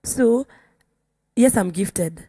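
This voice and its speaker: A woman's voice: mid-range voice, animated, very slow, mellow, breathy edge, dry recording. A Kenyan woman speaking as a singer on a panel, sharing her view in casual discussion.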